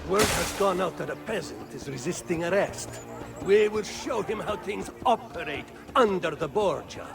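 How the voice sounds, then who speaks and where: Gravelly, high-pitched menacing guard. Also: male voice, expressive, normal speed. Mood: threatening. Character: menacing male town guard serving a tyrant family. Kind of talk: video game cutscene acting. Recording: game music behind.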